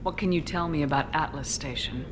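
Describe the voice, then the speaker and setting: An actress playing a stern, commanding space military commander in a video game, blunt and probing. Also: female voice, low-pitched, a little fast, noisy background, natural voice. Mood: businesslike, probing. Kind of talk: dramatic video game dialogue acting.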